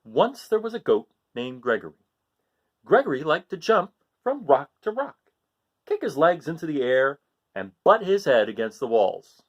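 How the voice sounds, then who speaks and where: A man reading a picture book aloud to children in an animated way, asking his listeners questions. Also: on the high side, normal speed, lo-fi sound. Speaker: read-aloud storyteller.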